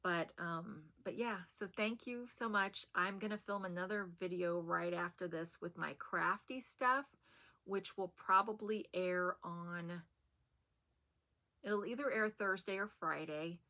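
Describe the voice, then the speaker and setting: A woman chatting to camera in a soft, soothing voice that still carries a bright, sharp edge. She rambles a little, with easy fillers and small laughs, in the relaxed way of a long-time vlogger. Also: fairly low pitch, measured pace. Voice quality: soft and soothing, said to put people to sleep. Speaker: haul vlogger. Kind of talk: casual chat to camera.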